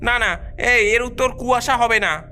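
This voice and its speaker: A woman's voice: on the high side, a little slow, pushed and bright, a little hiss or hum. A woman voicing a puzzled, anxious cartoon villager who hesitates and repeats words. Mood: puzzled, pondering.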